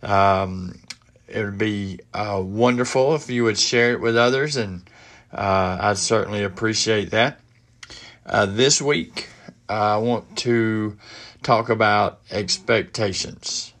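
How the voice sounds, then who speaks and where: A middle-aged man with a head cold hosting a casual solo podcast from his home office, speaking haltingly with many fillers and restarts. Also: lowish voice, a bit bright. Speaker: podcast host.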